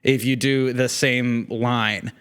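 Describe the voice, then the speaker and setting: A man's voice: medium pitch, fairly even intonation, slow, a bit bright, clear, resonant tone. A man who is a theatre actor, talking casually as an interview guest in a rambling way, often acting out bits of imagined dialogue.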